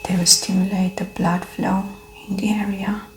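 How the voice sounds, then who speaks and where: Soft whispery lady doing asmr doctor roleplay, flat even tone, roomy echo w/ a bit of noise. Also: medium pitch, normal speed. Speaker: ASMR performer playing a medical examiner. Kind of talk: ASMR medical exam roleplay, soft spoken.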